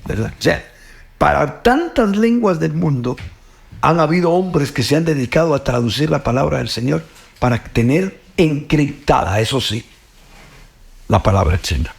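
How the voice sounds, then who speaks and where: Man, middle-aged, Guatemalan Spanish accent, gravelly voice, earnest reflective talk. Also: fairly high pitch, expressive, normal speed. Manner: earnest, repeats words, ends with "pues, ¿verdad?". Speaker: host.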